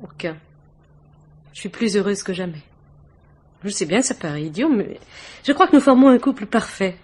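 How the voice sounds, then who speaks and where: A woman's voice: mid-range voice, lively intonation, moderate pace, darkish tone, husky. A woman playing a gracious, chatty, devoted young wife and hostess in a radio mystery, polite and confiding. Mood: tender, content. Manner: polite, talkative, romantic, confiding. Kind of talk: 1950s French radio mystery acting.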